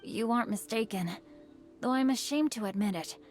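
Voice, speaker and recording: A woman voices an earnest young noble boy from a video game, in a fairly high voice. The delivery is courteous, formal and discreet, with light music behind it. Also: moderate pace, natural voice. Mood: confiding, slightly sheepish.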